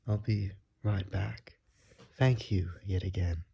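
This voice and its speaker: Guy doing a polite flattering vampire gentleman, asmr roleplay, soft and breathy, dark mellow tone, kinda muffled recording. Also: sits low, takes it slowly, slight background noise, heavily acted. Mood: grateful, charmed. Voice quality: soft, breathy, often whispered. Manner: polite, old-fashioned, hesitant, flattering. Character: courteous, ingratiating gentleman vampire visitor.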